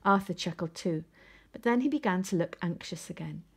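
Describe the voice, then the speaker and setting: Female storybook reader, expressive read-aloud, soft husky, breathy edge to the voice. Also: on the low side, fairly quick. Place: indoors at home, seated.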